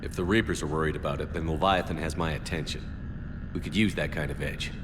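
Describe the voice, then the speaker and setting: Brisk, deep-voiced commander. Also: male voice, steady tone, long reverb tail, background noise. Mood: determined. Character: brisk male military commander, determined. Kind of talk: video game dialogue.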